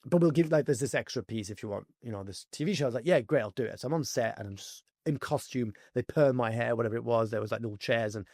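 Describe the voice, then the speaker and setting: Fast-talking man with a gravelly voice. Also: mid-range voice, dry recording, clean studio sound. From France. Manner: fast, animated storytelling with many fillers. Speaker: podcast guest. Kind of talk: casual interview chat.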